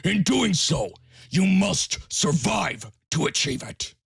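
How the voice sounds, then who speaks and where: Audio drama: male actor as hard-headed samurai companion, rough-edged voice, firm scolding delivery, very dry sound. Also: sits high, big pitch swings, slow, a bit bright, no room sound, heavily acted. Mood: angry, insistent. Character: stern, pragmatic male samurai warrior companion. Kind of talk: fan audio drama acting.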